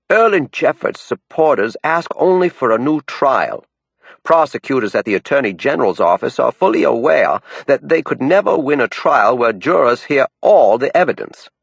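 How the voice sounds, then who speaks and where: Man putting on a high, slow, lecturing elderly Maine woman crusader, a dry close recording. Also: very animated, gravelly, husky, dry recording, lo-fi sound, exaggerated voice. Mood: speechifying. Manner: slow, speechifying, insistent.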